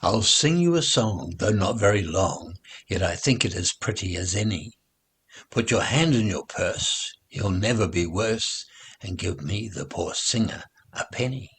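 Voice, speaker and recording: A man reading nursery rhymes aloud in an expressive, deliberate, sing-song way, as a recital for children. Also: lowish voice, slightly rough.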